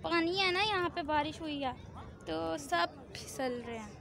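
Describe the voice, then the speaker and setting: A woman's voice: very high-pitched, animated, unhurried, creaky, music in the background. A young woman vlogger casually narrating a walking tour, often checking in with "theek hai" as she shows things around. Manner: chatty, keeps adding "theek hai" while showing around. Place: outdoors at a large mosque courtyard. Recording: outdoor phone recording with crowd and ambient noise.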